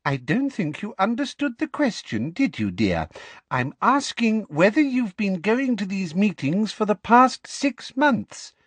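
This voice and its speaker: A man voicing a simpering, falsely sweet middle-aged female official in a high, coaxing tone for an audiobook. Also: very animated, a little slow, a bit bright, no room sound, heavily acted. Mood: sugary.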